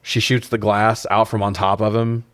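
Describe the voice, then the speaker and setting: A man's voice: fairly low pitch, level tone, normal speed, smooth, no room sound. A man co-hosting a podcast, casually recapping and riffing with incredulous, sweary humor. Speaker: podcast co-host. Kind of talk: casual comedic film recap and chat.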